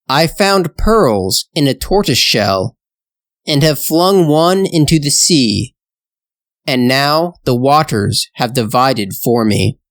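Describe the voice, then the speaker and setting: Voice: medium pitch, animated, slow, dry recording, studio quality. A narrator voicing an earnest, modest young male hero in a storybook fairytale.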